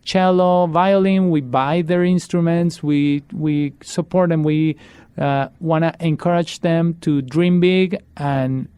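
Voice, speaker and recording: A man, a musician guest, answering interview questions in a relaxed storytelling way with fillers and restarts. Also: a little high, slow pace, smooth, dry recording. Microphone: studio microphone.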